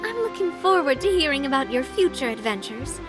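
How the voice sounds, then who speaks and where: A woman's voice: high voice, big pitch swings, brisk, over music. A woman playing a confident, sentimental young female comrade in an anime game. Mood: friendly.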